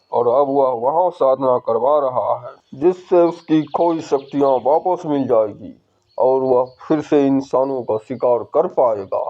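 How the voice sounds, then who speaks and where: A man's voice: mid-range voice, natural voice. A man voicing a stern older male tantric sage in a storybook horror cartoon, giving grave advice. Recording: dull lo-fi sound.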